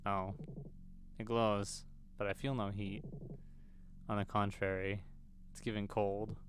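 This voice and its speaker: A man's voice: on the low side, level tone, slow pace, faint music behind. A man hosting gaming videos, reading a visual novel's script aloud as he plays.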